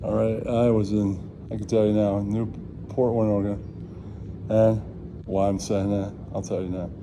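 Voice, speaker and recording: A man's voice: lowish voice, little pitch movement, unhurried, noisy background. A man vlogging in a casual, rambling chat, swearing freely and repeating his catchphrases.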